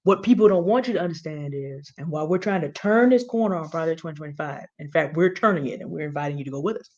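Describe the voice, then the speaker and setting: A woman's voice: low-pitched, animated, fast, darkish tone. A woman co-hosting an online talk, giving informal commentary straight to viewers, emphatic and confiding, with repeated words for effect. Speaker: online show co-host. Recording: dull, lo-fi audio.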